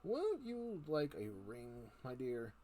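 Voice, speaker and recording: Man acting out creepy old storybook uncle, sly, wheedling, animated pitch, slow delivery. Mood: coaxing. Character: cunning, creepy old uncle, eager experimenter, falsely genial. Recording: noticeable background noise.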